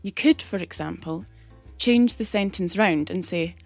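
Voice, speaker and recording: A woman explaining a grammar rule in a clear, patient teaching manner, as a recorded segment. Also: lowish voice, unhurried, dry recording, faint music behind. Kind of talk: scripted explanation. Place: recorded separately from the studio.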